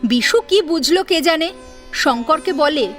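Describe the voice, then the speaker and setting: A woman's voice: sits high, expressive, a little slow, fairly bright tone, faint music behind. A woman narrating a scripted story in a dramatic storytelling style.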